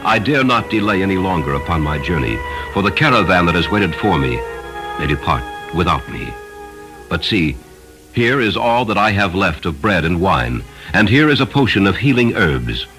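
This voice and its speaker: A man's voice: low-pitched, big pitch swings, moderate pace, sharp tone, soft background music, full character voice. A man voicing a devout, merciful traveling wise man, earnest and formal, with archaic phrasing in a storybook tale. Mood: earnest, kindly.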